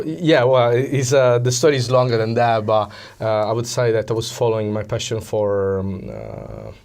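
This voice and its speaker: An Italian man with an Italian accent, explaining things as an interview guest in a conversational but halting way, often pausing to search for words. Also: fairly low pitch, a little mellow. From Italy. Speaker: interview guest, psychology coach.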